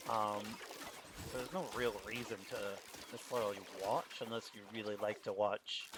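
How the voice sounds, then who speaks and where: A man streaming a game, chatting in a relaxed, rambling way. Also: on the low side, normal speed, heavy background noise. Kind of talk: casual commentary while gaming.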